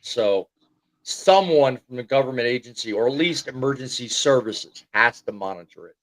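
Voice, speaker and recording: Man, host, casual panel chat, animated storytelling, dry room. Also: medium pitch, normal speed, no echo, low-quality recording. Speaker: show host, former truck driver.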